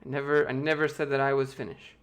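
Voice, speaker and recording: An American man chats through viewer questions on a live stream from a room at home, speaking into a desk mic. His delivery is loose and offhand, with quick jokes between answers. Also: mid-range voice, little pitch movement, fairly bright tone. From United States. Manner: loose, joking, reads chat questions aloud and answers offhand. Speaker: YouTube host answering chat. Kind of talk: casual livestream Q&A.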